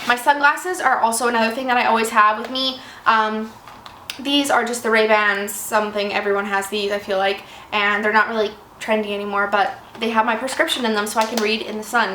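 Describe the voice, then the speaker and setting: Casting: twenties woman, slightly raspy from a cold, rambly and self-deprecating, higher voice, echoey room. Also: a bit bright. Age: 20s. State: fighting off a cold. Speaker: vlogger. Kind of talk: casual chat. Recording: echoey room with faint noise.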